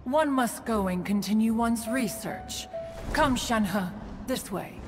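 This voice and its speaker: A woman's voice: fairly high pitch, slow, big-room sound, music in the background. A woman voicing a dignified ancient immortal recluse in a video game, with formal, haughty, archaic diction. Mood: lofty, instructive. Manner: formal, haughty diction, refers to herself as "one". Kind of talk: scripted video game cutscene acting.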